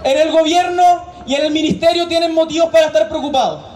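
A man's voice: very high voice, steady tone, fast talker. A young man giving a fiery protest speech from an outdoor stage into a handheld mic. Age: young adult. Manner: fiery, shouted oratory. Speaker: student leader. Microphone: handheld mic over a PA.

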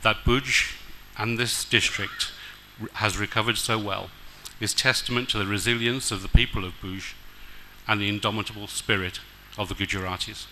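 Formal man with bright tone. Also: on the low side, fairly even intonation, a little hiss or hum, poor audio. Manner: measured, formal, occasional hesitations. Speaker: diplomat guest speaker. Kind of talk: formal prepared speech read aloud. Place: decorated outdoor stage at a temple event. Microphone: stand mic at a podium.

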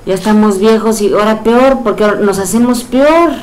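A middle-aged woman with a Mexican accent, a hypnotherapist who guides a session with soft, slow suggestions and then chats warmly with her client in a small indoor room. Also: mid-range voice, slight background noise, poor audio. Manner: soft, slow counting and suggestions, then lively coaxing questions.

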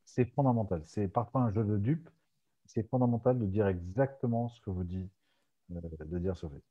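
A man from Aix-en-Provence hosting a casual video-call Q&A, relaxed and chuckling, with frequent hesitant restarts. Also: on the low side, moderate pace, slightly rough. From France, Aix-en-Provence. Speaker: podcast host and moderator. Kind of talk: casual Zoom Q&A chat. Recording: dull muffled call audio.

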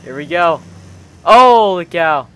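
A man's voice: on the high side, lively intonation, slow, a bit bright, clean tone, low-quality recording. A young man commentating on gameplay casually with friends, exclamatory and full of slang like bro and dude. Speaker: gaming video host.

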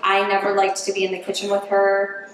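A woman's voice: mid-range voice, monotone, brisk. A woman in her thirties talking candidly and self-deprecatingly about learning to cook, interviewed in her home kitchen on a distant camera mic. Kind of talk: casual interview while cooking. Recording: roomy kitchen sound with faint background hum.

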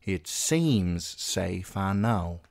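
Solemn boy beyond his years, read by a man, cautious, measured and slow, for a storybook. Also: sits low, animated, creaky, no echo, clean studio sound, heavily acted. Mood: grave. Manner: grave, measured, foreboding.

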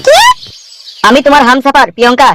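A man's voice: very high voice, big pitch swings, fast talker. A man voicing a bewildered, plaintive young boyfriend in a comedy cartoon, in an exaggerated way over music. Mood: pleading, confused. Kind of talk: melodramatic comedy cartoon dubbing. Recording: music under the voice.

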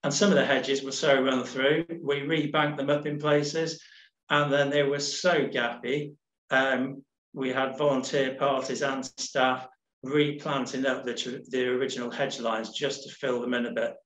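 Middle-aged man, relaxed talk over slides, echoey webcam audio. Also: mid-range voice, a little slow. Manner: relaxed, rambling, many restarts and ums. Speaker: nature reserves manager. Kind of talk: casual presentation over slides. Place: home room on a video call.

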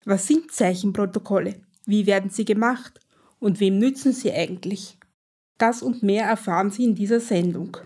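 A woman hosts a radio program with a mellow, warm voice of middling pitch. She reads her lines in a measured, scripted way, with clear, even phrasing. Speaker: radio show host.

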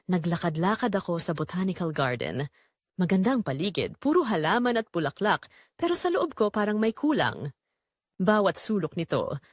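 Young Filipino female narrator for dramatic romance story; emotive, reflective, lively pitch movement, close dry recording. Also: dry recording. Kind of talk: dramatic scripted first-person romance narration.

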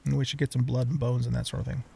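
A man's voice: mid-range voice, level tone, racing, vocal fry, warm tone, husky. A middle-aged man giving casual let's play commentary, chatting and thinking aloud as he goes. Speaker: gaming let's play host.

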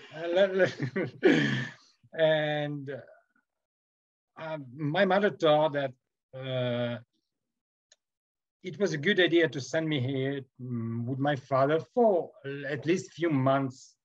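A Serbian-accented man in his forties talks over a video call from his home workroom, his voice a little high. He stops and restarts often, filling gaps with ums. The sound is dull and muffled. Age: 40s. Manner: halting, many fillers and restarts, laughs often. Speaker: guest sculptor.

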